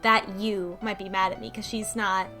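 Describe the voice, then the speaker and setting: A woman's voice: mid-range voice, level tone, normal speed. A woman co-hosting a podcast, casually recapping a story and informally acting out the characters' lines. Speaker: podcast co-host recapping a visual novel. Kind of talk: casual chat, plot recap from notes. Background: music under the talk.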